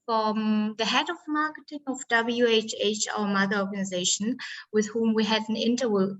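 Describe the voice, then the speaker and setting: A woman's voice: on the high side, fairly even intonation, moderate pace, a bit bright, muffled recording. A woman from Vietnam presenting an online workshop as facilitator over a video call, hesitant with many ums and uhs.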